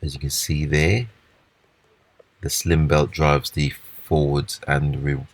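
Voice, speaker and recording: A man with a husky voice giving a casual, unscripted, step-by-step walkthrough of hands-on repair work. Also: very deep voice, flat delivery, slow pace. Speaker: repair demonstrator.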